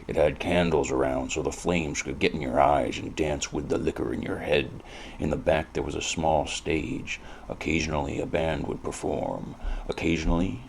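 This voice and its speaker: Man acting a very deep gravelly noir detective. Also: slight background noise. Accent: American. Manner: brooding, deadpan. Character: world-weary cynical hard-drinking male noir detective narrator. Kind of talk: scripted first-person hardboiled noir narration.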